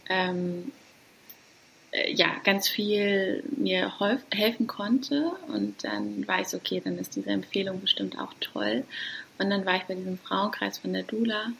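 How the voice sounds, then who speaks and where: A woman in her thirties from Hamburg, talking casually and hesitantly as a guest, with many pauses and light laughs. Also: medium pitch, expressive, vocal fry, a bit bright, slight background noise, rough recording. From Hamburg, Germany. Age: 30s. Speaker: guest, doula. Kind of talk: casual interview talk.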